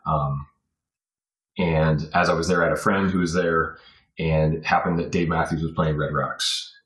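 A man's voice: a bass voice, fairly even intonation, normal speed, fairly bright tone. An American man in his forties from Virginia, reminiscing casually as a podcast guest in a rambling way. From Virginia, USA. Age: 40s. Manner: rambling, punctuated with "you know". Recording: roomy, echoey sound.

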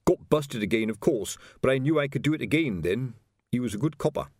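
A man's voice: medium pitch, expressive, a little fast, darkish tone, no echo, studio quality, voice pushed up, natural voice. A man voicing a weary, authoritative veteran police sergeant in an audiobook. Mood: reminiscing. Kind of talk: audiobook character voice.

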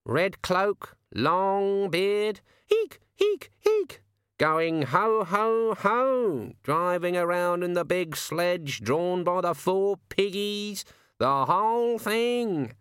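A man's voice: high-pitched, sing-song, extremely slow, fairly bright tone, clear, resonant tone, no echo, studio quality, pitched above the performer's own voice, heavily acted. Man voicing a sardonic talking raven. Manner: raised, sardonic and chatty.

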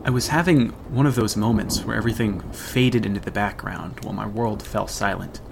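Grave, gravelly haunted man an actor's role. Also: fairly low pitch, fairly even intonation, background noise. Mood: grim, tense. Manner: deliberate, solemn, ominous storytelling. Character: haunted grown man recounting a teenage horror, grave, regretful. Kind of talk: dramatic horror audio drama narration.

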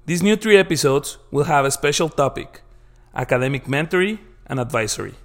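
Halting man hosting a conference podcast. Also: on the high side, lively intonation, fairly bright tone. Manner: friendly, halting, repeats words, non-native grammar. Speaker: podcast host. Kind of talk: interview podcast. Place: conference venue.

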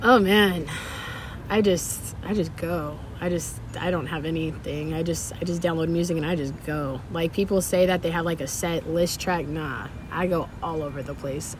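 Laid-back young woman, low voice, noisy. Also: steady tone, moderate pace. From Sacramento, California. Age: young adult. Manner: laid-back slangy talk, frequent laughs and fillers. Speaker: DJ guest. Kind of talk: casual interview chat.

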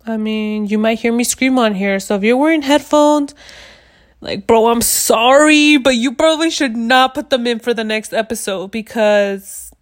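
A woman in her twenties from the United States, hosting a podcast in loud, blunt casual chat and swearing freely. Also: fairly high pitch, moderate pace. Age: 20s. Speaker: podcast host.

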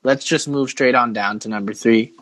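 A man's voice: medium pitch, normal speed, fairly bright tone, no room sound. A man hosting a podcast with casual sports banter and a playful, teasing manner. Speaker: podcast host.

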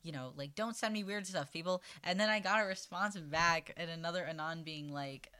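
A man's voice: a little high, fairly quick, pushed and bright, smooth. A man from Minnesota with a Minnesotan accent, a podcast guest chatting casually in a wry, rambling way and swearing freely.

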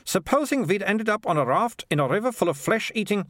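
A man's voice: high-pitched, lively intonation, a little fast, a bit bright, dry recording, studio quality, voice pushed up. Malicia, a bossy theatrical girl, voiced by a man. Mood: bossy, dramatic. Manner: theatrical, self-assured. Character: Malicia, a bossy, dramatic, know-it-all girl.

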